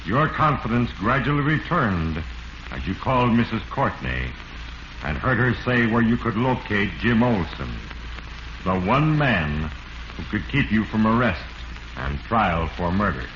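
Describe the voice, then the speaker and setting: An actor voicing a solemn spirit of destiny, narrating a 1940s radio mystery with grave, portentous delivery. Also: male voice, on the low side, very animated, a little slow. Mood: ominous. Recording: echoey, muffled, noisy vintage radio recording.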